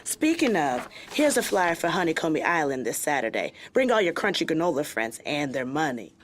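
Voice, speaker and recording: An actress in her twenties playing a sardonic, quick-witted young office worker in a scripted comedy, slangy and sarcastic. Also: low voice, sing-song. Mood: teasing. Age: 20s. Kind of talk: scripted workplace comedy acting.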